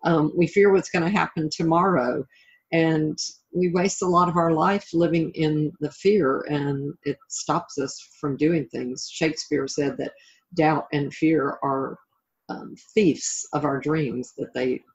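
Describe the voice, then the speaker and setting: A woman's voice: low voice, fairly even intonation, unhurried. Middle-aged woman, encouraging, dull lo-fi audio. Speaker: interviewed life coach and author. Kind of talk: reflective, conversational interview answers.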